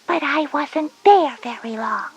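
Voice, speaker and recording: A woman voicing an anxious, hesitant little girl starting kindergarten in a children's educational audio drama, with a breathy voice. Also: high voice, expressive, measured pace. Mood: worried, reluctant. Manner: hesitant, timid.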